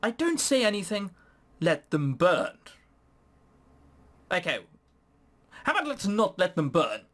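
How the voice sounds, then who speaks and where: A man's voice: high-pitched, wildly swooping pitch, unhurried, fairly bright tone. A man hosting a gaming video, reading game text aloud and commenting on it casually with sarcastic, incredulous, rambling asides.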